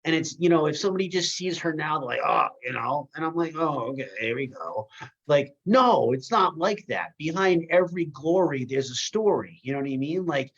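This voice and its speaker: A man's voice: a little high, animated, moderate pace, fairly bright tone, muffled recording. A man speaking on a group call, giving an emphatic, inspirational tribute. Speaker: community member giving a testimonial. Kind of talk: motivational speech on a call.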